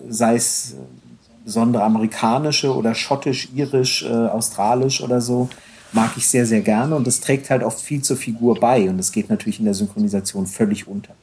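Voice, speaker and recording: A man's voice: medium pitch, mellow, breathy edge. A man co-hosting a casual podcast chat, talking at length with many restarts and hesitations. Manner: rambling, frequent restarts and hesitations. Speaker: podcast co-host.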